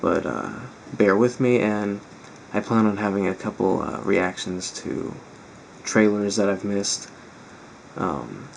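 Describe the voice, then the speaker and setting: A man hosting a reaction video, chatting casually and a bit ramblingly to viewers in a strained, raspy voice. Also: fairly low pitch, poor audio. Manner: rambling, informal. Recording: faint background noise.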